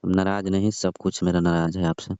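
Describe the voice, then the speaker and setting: A man's voice: low voice, little pitch movement, brisk, dark tone, clear, resonant tone, no room sound. A man playing a jealous young lover in a phone call drama, sulky, curt and full of complaints. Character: sulking, jealous young lover of a married woman. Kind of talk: scripted prank-style phone call drama in colloquial Hindi. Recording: dull lo-fi phone call recording.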